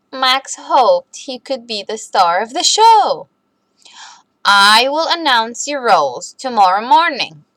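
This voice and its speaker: Woman, high voice, expressive children's storyteller, deliberate read-aloud, close dry sound. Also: no room sound. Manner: animated, deliberate delivery for young children.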